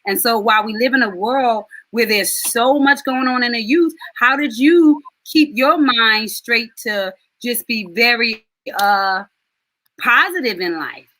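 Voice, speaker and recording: A middle-aged woman hosting a live online stream, chatty and affectionate, with quick asides. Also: fairly high pitch, bright, forceful tone, no room sound. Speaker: host and soul mentor.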